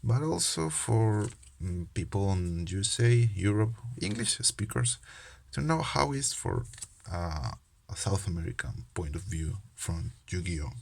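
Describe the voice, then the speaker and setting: A man's voice: low voice, sing-song, measured pace, vocal fry, a little mellow. A young man from Peru with a Peruvian Spanish accent and Spanish as his first language, hosting his channel in a casual, hesitant way with many fillers and restarts. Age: young adult. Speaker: channel host, medical student. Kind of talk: casual card game video talk.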